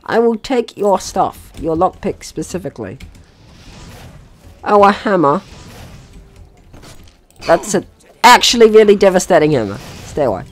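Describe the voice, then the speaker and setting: A man's voice: high voice, sing-song, unhurried, long pauses. A man hosting a casual let's-play, talking playfully to the game's characters and swearing now and then. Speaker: gaming host.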